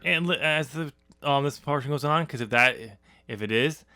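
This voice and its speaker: Halting man with a bright, forceful voice. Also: a little high, smooth, no room sound, clean studio sound. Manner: halting, rambling, self-correcting with stammered restarts. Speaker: podcast host and writer. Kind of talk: casual unscripted discussion.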